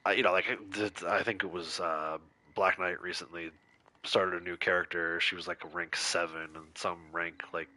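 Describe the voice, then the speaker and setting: A man's voice: low voice, flat delivery, moderate pace, bright, forceful tone, no room sound. A man streaming a video game, chatting casually with his viewers while congested and a bit nasal from a cold. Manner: chatty, reads out and answers viewer chat, laughs easily. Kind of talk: casual livestream chat while playing.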